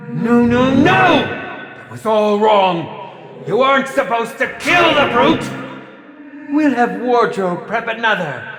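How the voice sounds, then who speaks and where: A cruel, pompous movie director from a video game, high-voiced and swooping wildly between sneer and shout. He draws his words out slowly with theatrical, sarcastic condescension, ringing through a reverberant hall. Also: heavily acted. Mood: irritated, demanding. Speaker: film director and announcer character in a video game. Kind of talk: video game villain announcer voice acting. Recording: echoey hall reverb over music.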